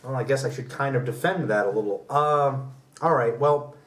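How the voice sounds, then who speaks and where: A man's voice: medium pitch, normal speed, slight background noise. A man co-hosting a casual pop culture podcast, rambling and opinionated as he chats. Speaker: podcast host. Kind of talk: casual conversational debate about pop culture. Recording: roomy echo, distant from mic.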